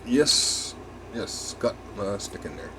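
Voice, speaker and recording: A man's voice: fairly low pitch, sing-song, takes it slowly, very noisy. A man giving a casual hands-on repair demonstration, rambling and thinking aloud as he works. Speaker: RV repair technician presenting a tutorial.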